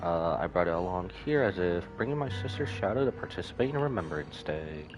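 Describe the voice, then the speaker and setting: Streamer reading as a low-voiced, gentle grieving brother. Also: male voice, expressive, a little fast. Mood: wistful, gentle. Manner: gentle, reassuring. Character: kind grieving brother of a fallen soldier. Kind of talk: game dialogue read aloud by a streamer. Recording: music behind the voice.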